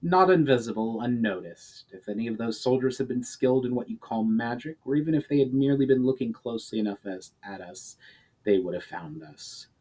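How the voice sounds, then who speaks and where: A man voicing a shrewd male elf in a storybook reading, measured, formal and self-assured. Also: mid-range voice, animated, a little mellow, husky, low-quality recording. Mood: calm, explaining.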